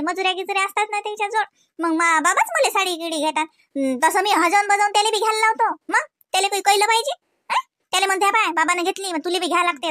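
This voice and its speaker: Woman playing a very high-pitched gossipy village housewife. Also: pushed and bright, no echo, clean studio sound, full character voice. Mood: boastful, chatty. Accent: Varhadi Marathi. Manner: chatty, gossipy, colloquial. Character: gossipy, complaining village housewife in a comedy cartoon. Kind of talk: comic cartoon village dialogue.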